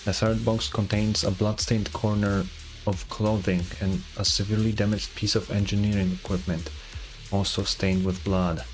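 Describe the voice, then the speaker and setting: Man, low voice, game streamer, reading narration aloud, casual asides, music behind. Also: flat delivery, normal speed.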